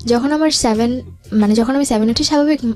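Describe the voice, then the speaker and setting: Interview guest: young woman, Bangladeshi accent, highish voice, hesitant storytelling, English words mixed in, music underneath. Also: a little fast, a little mellow. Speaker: guest telling life story. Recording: music bed behind the voice.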